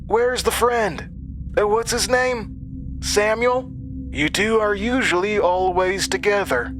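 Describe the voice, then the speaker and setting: A man's voice: sits high, slow pace, pitched above the performer's own voice. A man voicing a menacing camp director who feigns friendliness in an audio drama. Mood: feigned friendliness. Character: smiling, menacing male summer camp director, falsely friendly.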